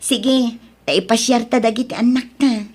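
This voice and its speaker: A woman's voice: a little high, steady tone, unhurried, darkish tone. A woman playing a friendly, curious married mother in an Ilocano-accented audio drama. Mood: agreeable.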